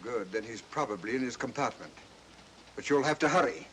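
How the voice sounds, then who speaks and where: A man plays a cold criminal boss in a 1940s thriller, with a mid-pitched voice. He is controlled and commanding, pressing his points with smooth insistence. A faint noise runs through the old film soundtrack. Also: lively intonation, a little fast, fairly bright tone, lightly acted. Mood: calculating, persuasive. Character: cold, calculating male criminal mastermind, persuasive, commanding. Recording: noisy, aged film soundtrack.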